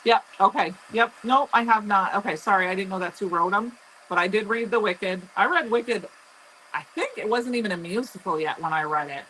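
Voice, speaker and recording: A middle-aged woman with a loud voice, hosting a live crafting demo and chatting loosely with viewers as she works. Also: mid-range voice, fairly quick, slight background noise, low-quality recording. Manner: chatty, rambling, answers viewer comments. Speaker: livestream craft host.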